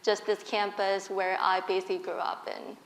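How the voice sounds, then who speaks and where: A woman's voice: medium pitch, monotone, moderate pace, reverberant, rough recording. Korea-born woman telling her story earnestly. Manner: earnest and open, with soft laughs. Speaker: guest speaker. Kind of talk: candid personal storytelling in a stage interview.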